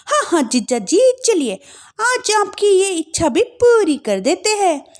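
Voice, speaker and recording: A woman voicing a bold, clever, cheeky young sister-in-law in an animated family story. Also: very high voice, slow pace, full character voice. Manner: cheeky, assertive, theatrical.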